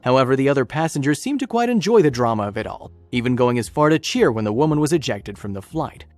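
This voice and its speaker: A man's voice: mid-range voice, sing-song, fast, no room sound. Male story narrator over music. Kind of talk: scripted documentary-style story narration. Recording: music bed under narration. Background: background music.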